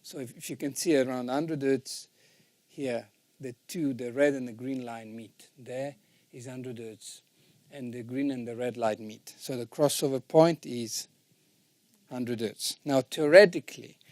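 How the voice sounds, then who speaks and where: A man with a soft-edged, mellow voice teaches in an informal, didactic way, like an instructor walking learners through a subject. He regularly checks for understanding, and the sound is very dry, with little room around the voice. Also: mid-range voice, no echo. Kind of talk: informal explanatory lesson.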